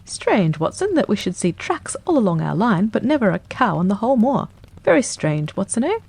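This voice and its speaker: A woman reader plays a sharp-witted gentleman detective, cool and sure of himself. He speaks quickly and emphatically, with a lively, swooping pitch and a husky, gravelly edge. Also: full character voice. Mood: eager. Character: brisk, keen-minded male detective, cool and confident. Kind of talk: audiobook character reading.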